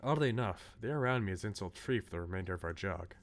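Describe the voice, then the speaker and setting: Expressive male streamer with lively pitch swings. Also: quick pace. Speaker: game streamer. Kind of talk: reading a visual novel aloud with chatty asides. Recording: game music behind the voice. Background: video game music.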